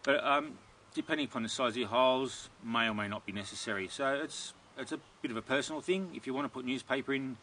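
Bright-voiced man rambling in a backyard. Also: medium pitch, quick pace, slight background noise. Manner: relaxed and rambling, many ums and restarts. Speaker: gardening video host. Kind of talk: casual Q&A, reading viewer questions. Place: outdoor backyard garden.

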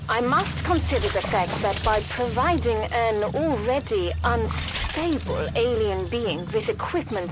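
An actress playing a cool, analytical scientist dictating research notes in a formal, precise manner. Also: female voice, a little high. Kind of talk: scripted game narration.

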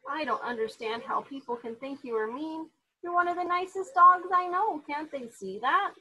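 A woman voicing an innocent, excitable young male dog in a children's storybook, wide-eyed and childlike. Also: high voice, normal speed, full character voice, rough recording. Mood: puzzled, earnest.

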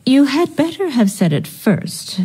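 A woman's voice: sits high, sing-song, measured pace, mellow, husky, exaggerated voice. A woman playing a haughty, disapproving upper-class aunt in a period radio drama, speaking with formal, dry disdain. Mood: scornful.

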